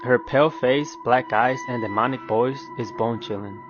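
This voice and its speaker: Male narrator, middle register, scripted recap, muffled sound with clear music bed. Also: medium pitch, moderate pace. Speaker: video narrator. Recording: dull lo-fi clip, background music.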